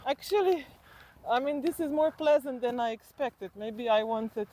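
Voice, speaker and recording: A woman's voice: high voice, normal speed, dark tone, rough recording. A middle-aged woman from Slovenia, chatting casually and reflectively as an interview guest while walking outdoors. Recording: outdoor walk with clear background noise.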